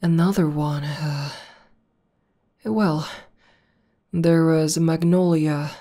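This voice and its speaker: A woman playing a proud, battle-hardened tomboy demon girlfriend in an intimate ASMR roleplay, talking conversationally with thoughtful pauses. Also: low-pitched, mellow. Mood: calm, reminiscing. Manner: unhurried, hesitant pauses, conversational.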